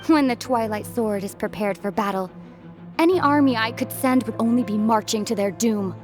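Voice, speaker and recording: Woman doing a cool calm fighter lady, firm and formal, music playing. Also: fairly high pitch, moderate pace, lightly acted. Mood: resolute. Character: cool resolute female warrior challenger.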